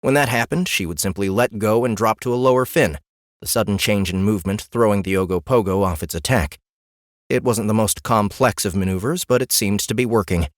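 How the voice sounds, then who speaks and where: A man's voice: on the low side, a little fast, no echo. A man narrating a comic fantasy audiobook with wry, dry humor in a studio.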